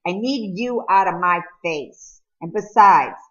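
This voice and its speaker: A woman's voice: lowish voice, lively intonation, takes it slowly. A sullen, distrustful teenage boy from an audiobook, read by a woman. He is blunt and grudging and pushes back on what he hears. The sound is a little lo-fi. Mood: defiant, blunt. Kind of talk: audiobook character dialogue.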